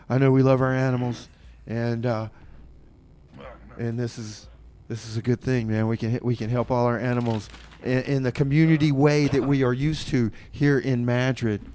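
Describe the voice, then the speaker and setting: A man hosting a community radio music show with casual, rambling, tongue-in-cheek chat, often halting and repeating his words. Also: mid-range voice, darkish tone.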